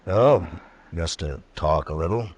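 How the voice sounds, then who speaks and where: Old west lawman, real deep n husky, steady, few words, old radio show. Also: a little slow, full character voice. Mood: guarded, casual. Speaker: radio drama actor. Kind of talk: old-time radio western acting. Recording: vintage radio drama recording.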